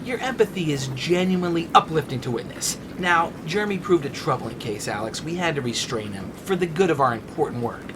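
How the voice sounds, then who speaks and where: A man's voice: on the high side, lively intonation, a little fast, hardly any pauses, lightly acted. Man playing smug, unhinged scientist captor over noise. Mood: smug, preachy. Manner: pompous, genteel, mock-polite. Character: smug, unhinged cult-like male scientist captor, cheerfully menacing. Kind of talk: audio drama acting.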